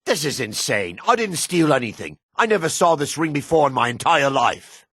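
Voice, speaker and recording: An actor playing a male dark elf townsman in a fantasy video game, with a raspy voice, gruff and theatrical. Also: on the high side, sing-song, moderate pace, dry recording, studio quality, heavily acted. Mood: indignant. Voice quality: raspy, husky.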